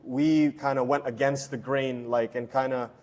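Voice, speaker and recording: A young man from Hong Kong who coaches strength and conditioning, giving relaxed, chatty interview answers with many fillers and restarts. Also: mid-range voice, moderate pace.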